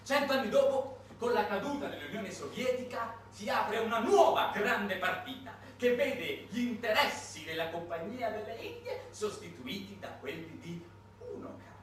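A man delivering a satirical theatrical monologue in an emphatic, ironic, halting manner. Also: high voice, expressive, fairly bright tone. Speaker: stage monologuist. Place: roomy indoor performance space, far from mic. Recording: distant, echoey, noisy live theatre recording.

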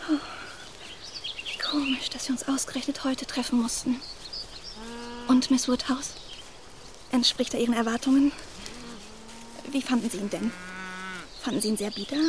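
A woman's voice: sits high, steady tone, moderate pace, long pauses, noisy background, close to an everyday voice. An actress playing a naive, eager and deferential young woman in a radio play, artless and hesitant in her speech. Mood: flustered, excited.

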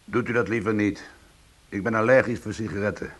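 A man playing a bitter, defiant ex-convict in a Dutch radio play, with a gravelly, husky voice and a sardonic manner. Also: on the low side, fairly even intonation, fairly quick, fairly bright tone. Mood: tense, confiding. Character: bitter, defiant male ex-convict trying to go straight. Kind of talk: Dutch radio drama dialogue and narration.

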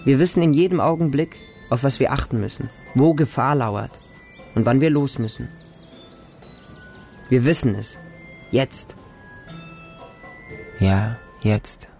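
Male actor as a street boy living among dogs, mid-pitched voice, simple childlike phrasing, watchful and defiant, radio play monologue with music. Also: unhurried, long pauses, a little mellow, lightly acted. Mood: alert. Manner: simple, childlike short sentences. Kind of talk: first-person radio drama monologue.

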